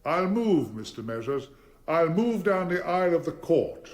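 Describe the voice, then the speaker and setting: An actor playing a formidable barrister in a courtroom radio drama, probing witnesses in a deliberate, theatrical and insistent way. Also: male voice, fairly high pitch, very animated. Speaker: defence barrister.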